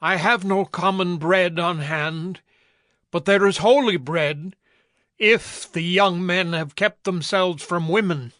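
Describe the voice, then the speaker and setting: A man's voice: sits high, slow, a bit bright, no echo, studio quality. A man playing a cautious, anxious temple priest in a dramatized Bible story, choosing words carefully. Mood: careful, solemn.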